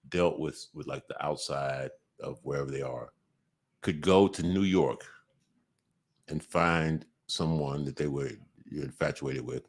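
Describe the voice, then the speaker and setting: A man with a very low voice, talking casually on a podcast. He stops and restarts often, fills gaps with "uh" and "like", then pushes hard on the points he cares about. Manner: halting, many restarts and fillers, emphatic bursts. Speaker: podcast host. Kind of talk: casual conversation.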